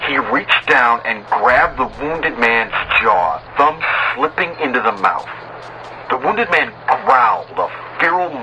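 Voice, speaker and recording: A man narrating a horror tale in the first person as a doomed, despairing sailor, grave and deliberate, with dramatic pauses. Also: mid-range voice, bright, forceful tone. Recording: clearly noisy bed of ambient sound.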